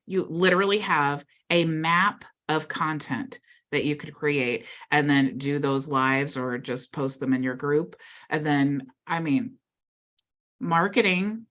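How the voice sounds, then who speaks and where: A woman's voice: sits low, fairly bright tone. A woman hosting a live Q&A video call, chatty and upbeat with lots of filler words. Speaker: mastermind call host.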